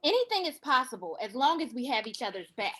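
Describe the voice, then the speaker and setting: Woman playing a lively, ambitious dreamer. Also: high-pitched, fast talker, slight background noise. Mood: determined, hopeful. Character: determined, hopeful ambitious woman in flashback. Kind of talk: drama table read.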